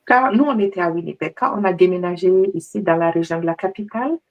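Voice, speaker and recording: A middle-aged woman from Mali speaks as a guest coach. Her voice is low with a gravelly edge, and she tells her story in a lively, chatty way. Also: quick pace. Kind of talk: personal interview answers.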